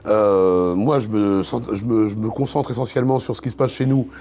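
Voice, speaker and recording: A man's voice: fairly low pitch, fairly even intonation, normal speed, warm tone. A man talking casually as an interview guest, opinionated and colloquial, with emphatic repetitions. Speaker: interview guest, literature video creator.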